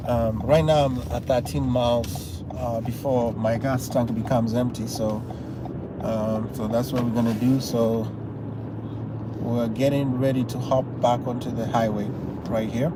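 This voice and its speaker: Kenyan man, live-stream host, easygoing driving commentary full of fillers, mid-pitched, recorded in a car with heavy road noise. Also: unhurried. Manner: relaxed, rambling, with many fillers. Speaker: livestream host. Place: inside a car on a highway.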